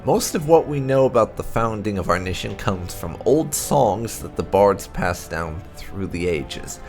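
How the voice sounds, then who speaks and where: A man reading fantasy lore aloud as expressive scripted narration, measured and deliberate with dramatic emphasis. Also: fairly low pitch. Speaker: host of a game playthrough channel reading lore entries aloud. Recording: music behind the voice.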